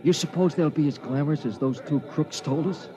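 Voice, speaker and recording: A man's voice: on the high side, fairly quick, close to an everyday voice. An actor playing a nervous, good-humored male miner who chuckles as he talks, in a 1950s radio play. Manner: chuckling, folksy. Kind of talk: 1950s radio drama acting. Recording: dull radio with crowd noise. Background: crowd.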